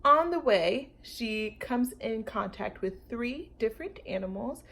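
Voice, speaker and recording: A woman reading a children's storybook aloud as a storytime teacher, expressive and speaking directly to young listeners. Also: a little high, measured pace, creaky, a little hiss or hum.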